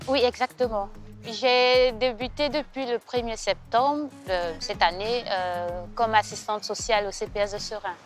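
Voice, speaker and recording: A young woman from Ivory Coast, a social worker, answering interview questions in a measured way with frequent pauses. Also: fairly high pitch, fairly even intonation. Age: young adult. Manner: measured, hesitant with fillers. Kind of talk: casual interview. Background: soft music.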